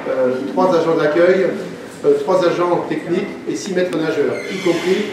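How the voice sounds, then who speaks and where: A man's voice: on the high side, moderate pace. A man who is a local elected official, giving a semi-formal, unscripted speech at an inauguration. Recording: echoey pool hall, distant mic, noisy.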